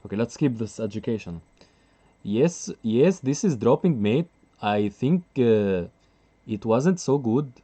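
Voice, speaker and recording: A man's voice: medium pitch, expressive, a little slow, mellow. A man putting on a stereotypical Indian accent, stumbling and repeating words as he talks through a chess game. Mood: playful. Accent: put-on Indian.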